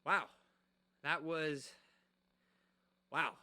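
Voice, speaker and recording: An actor playing an earnest late-night radio host and protective older brother in a radio play. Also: male voice, fairly high pitch, steady tone, very slow, pushed and bright, exaggerated voice. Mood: upbeat, dreamy.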